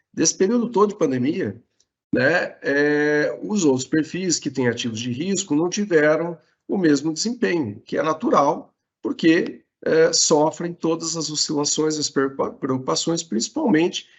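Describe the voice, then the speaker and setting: A man with a Brazilian Portuguese accent hosting an online Q&A, explaining in a measured way with frequent fillers. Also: mid-range voice. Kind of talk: explanatory talk in a virtual Q&A. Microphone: video call.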